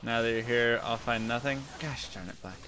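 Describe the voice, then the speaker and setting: A man with a deep voice casually talking to chat and muttering to himself while playing a game on a live stream. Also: moderate pace, background noise. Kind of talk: casual gameplay commentary.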